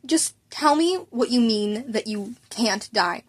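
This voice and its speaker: A stern, world-weary male teacher, acted by a woman reading a story. He speaks in a deliberate, exasperated way, scolding with tired authority. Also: on the high side, lively intonation.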